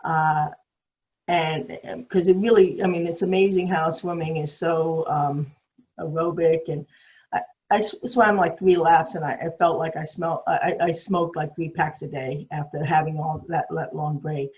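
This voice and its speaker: A woman's voice: low-pitched, steady tone, moderate pace, darkish tone, poor audio. An older woman from New York City with a New York accent, telling her story as a guest on a call, with many ums and restarts. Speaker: guest swimmer. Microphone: video call.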